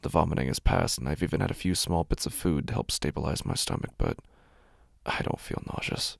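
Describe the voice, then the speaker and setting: A man with a gravelly, husky voice, narrating first-person horror in a brooding, deliberate, intense manner, close on the microphone. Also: very deep voice, big pitch swings, dry recording. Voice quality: gravelly, husky, breathy at times.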